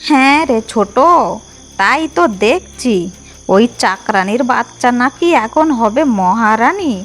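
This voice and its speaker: A woman's voice: on the high side, animated, a little slow. A woman playing a scheming, bossy elder sister-in-law in a cartoon fairy tale, smug and conspiratorial. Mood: sly, scheming. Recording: music behind the voice.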